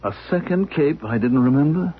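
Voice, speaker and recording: Nervous husband in an old radio play, keeps stammering, raspy breathy voice, tinny muffled sound. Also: male voice, fairly high pitch, animated, normal speed. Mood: anxious. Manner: agitated, stammering, repeating himself. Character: anxious middle-aged man, husband, frightened and pleading. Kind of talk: 1970s radio drama acting.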